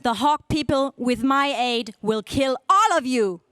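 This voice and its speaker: A woman's voice: high voice, unhurried, a bit bright, no room sound. A woman playing a haughty, jealous young princess villain in a 1930s-style space radio serial. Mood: threatening. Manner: haughty, declamatory.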